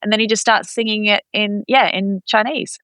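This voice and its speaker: A woman chatting casually as an interview guest, expressive and quick to act out dialogue as she tells stories. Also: mid-range voice, smooth, no room sound. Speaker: podcast guest, expat parent.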